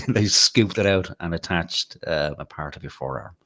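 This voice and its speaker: A man's voice: low-pitched, sing-song, a little slow, fairly bright tone. An Irish man hosting a podcast in casual chat, crude and mocking, often breaking into laughter. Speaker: podcast host.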